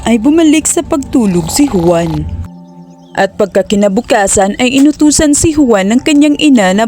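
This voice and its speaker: A woman narrating a cartoon storybook in a plain, steady way. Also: a little high.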